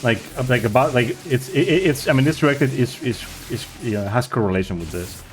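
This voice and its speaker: A man's voice: mid-range voice, brisk, faint music behind. A man streaming a speedrun, chatting casually and rambling as he searches for words. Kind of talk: casual chat while playing.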